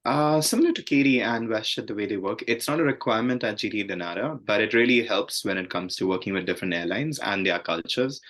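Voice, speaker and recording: A man from India with a lowish voice speaks as an airport agent on a panel over a video call. He explains at length, with some hesitations. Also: lively intonation, fast talker, few pauses, fairly bright tone. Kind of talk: casual panel talk.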